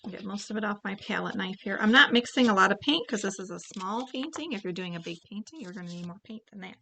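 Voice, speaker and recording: A woman's voice: medium pitch, animated, fast, vocal fry, crowd noise. Chatty woman teaching a painting class. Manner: chatty, thinks aloud while painting. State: keeps clearing her throat. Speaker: painting instructor. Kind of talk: relaxed paint-along tutorial.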